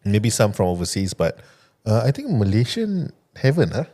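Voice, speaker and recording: A man's voice: on the low side, sing-song, normal speed, mellow. A man from Singapore with a Singaporean English accent, hosting a podcast interview. He asks questions hesitantly, full of "like" and "um". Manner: hesitant, many fillers like "like" and "um". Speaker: podcast host. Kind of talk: casual interview conversation.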